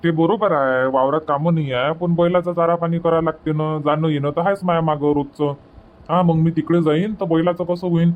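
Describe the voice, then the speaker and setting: A man's voice: fairly high pitch, steady tone, a little fast, phone-quality sound, lightly acted. Older farmer father played by a man for cartoon comedy: practical, fond, colloquial, rural Vidarbha Marathi accent. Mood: practical, reluctant.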